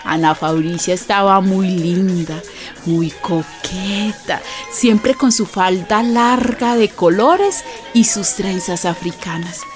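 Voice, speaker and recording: A woman's voice: mid-range voice, takes it slowly, music in the background, lightly acted. An actress playing an earthy, chatty Colombian woman narrating a radio drama, confiding and colloquial as she recalls a friend. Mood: fond, reminiscing.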